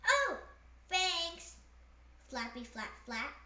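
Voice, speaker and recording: A woman's voice: extremely high pitch, sing-song, extremely slow, sharp tone, voice pushed up, full character voice. A woman voicing a little, sweet, innocent duckling from a picture book, with simple polite manners. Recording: roomy echo, slightly dull sound.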